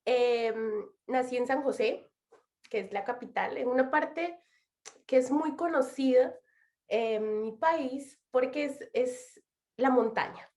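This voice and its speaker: A young adult woman from Costa Rica with a Costa Rican Spanish accent, telling her own story as an interview guest in an unhurried way with frequent laughs. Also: sits high. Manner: unhurried, laughs often, warm pauses. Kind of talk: relaxed personal storytelling.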